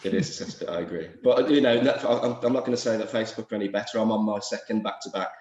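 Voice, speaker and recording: A man with a hoarse voice from a cold, talking quickly and animatedly as a doctor interviewed on a show. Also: lowish voice, steady tone, darkish tone, reverberant, dull audio. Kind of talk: video call interview chat.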